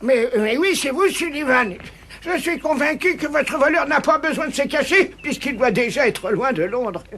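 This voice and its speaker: A man voicing an elderly, excitable English lord in a dubbed cartoon, speaking haltingly with repeated words. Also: very high voice, heavily acted. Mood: amused, teasing.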